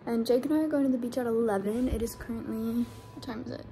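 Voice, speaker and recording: A woman's voice: a little high, fast, warm tone, slight background noise. A young woman in her twenties talking casually to her phone camera, upbeat and chatty with lots of filler words. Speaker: vlogger. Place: home, beach and car. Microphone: phone held at arm's length.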